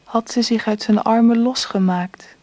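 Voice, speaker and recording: A woman narrates the story in a measured, reflective way, her voice mellow and warm. The recording is dry and close. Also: fairly high pitch, steady tone, no echo. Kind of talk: scripted narration.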